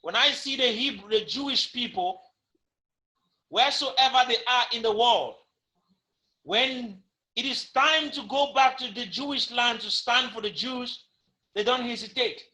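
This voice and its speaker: A man's voice: extremely high pitch, unhurried, bright, forceful tone, rough recording. A man giving an impassioned talk on a talk show, with an emphatic, preaching cadence and much repetition. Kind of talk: impassioned speech to camera.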